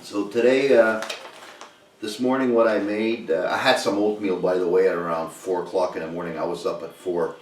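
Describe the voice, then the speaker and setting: A man's voice: sits low, flat delivery, moderate pace. A man from Canada talking casually as an outdoor vlog host, rambling in a hesitant, folksy way. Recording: roomy, distant mic, faint noise.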